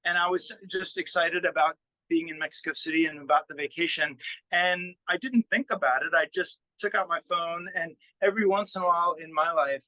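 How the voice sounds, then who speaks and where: A man with a bright, fairly high voice chats informally as a musician being interviewed. He is self-effacing and easygoing in his wording, and the sound is close and dry. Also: no echo, poor audio. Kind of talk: casual interview chat.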